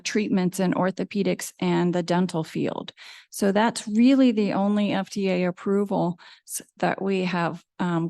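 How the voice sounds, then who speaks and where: Brief: woman in her fifties, mid pitch with a touch of fry, lecturing in a measured, explanatory way, dry close sound. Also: darkish tone, dry recording. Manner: measured, explanatory, frequent ums. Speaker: dermatologist giving a lecture. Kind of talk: lecture presentation. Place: lecture hall with live audience.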